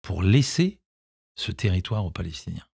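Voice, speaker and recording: Low-voiced man, halting then emphatic. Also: big pitch swings, vocal fry, darkish tone, husky, no echo, clean studio sound. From Israel, grew up in France. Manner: hesitant, many restarts, then emphatic. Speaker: film director and actor, guest. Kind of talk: radio interview answers. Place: radio studio.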